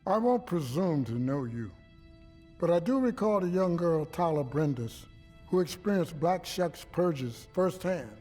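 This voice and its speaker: An actor playing a shrewd, measured resistance leader who speaks formally and deliberately in a video game. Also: male voice, fairly high pitch, animated, dark tone, soft background music. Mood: grave, measured. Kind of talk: cinematic video game acting.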